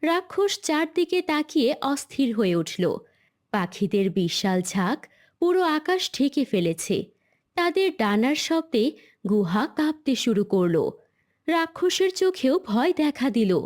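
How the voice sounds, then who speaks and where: A woman's voice: high-pitched, sing-song, unhurried, darkish tone. A woman reading dramatic scripted narration for a cartoon story.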